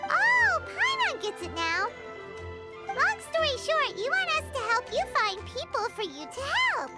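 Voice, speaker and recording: A woman voicing a tiny childlike fairy companion in a video game, cheeky, excitable and exclamatory. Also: extremely high pitch, unhurried, full character voice. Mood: bargaining. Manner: speaks of herself in third person, exclamatory. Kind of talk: video game character voice acting. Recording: background music under the voice.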